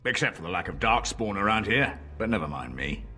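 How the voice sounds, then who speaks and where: An actor playing a wary, sardonic village tavern barkeep in a fantasy video game, with a gruff, husky delivery. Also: male voice, lowish voice, sing-song, a little fast, bright, forceful tone, faint music behind.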